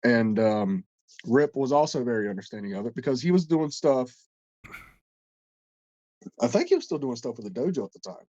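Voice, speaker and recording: A man's voice: mid-range voice, expressive, moderate pace, long pauses, mellow, dry recording, muffled recording. A man co-hosting a casual podcast, stuffed up and congested, telling a long story in a rambling, profane way with many restarts. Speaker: podcast co-host.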